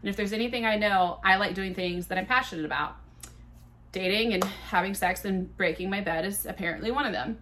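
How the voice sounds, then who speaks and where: A woman's voice: medium pitch, a little fast, a bit bright, slight background noise. A woman in her thirties hosting her own podcast, telling stories in a chatty, profane, self-mocking way with a big voice. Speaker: podcast host. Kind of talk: casual solo podcast storytelling.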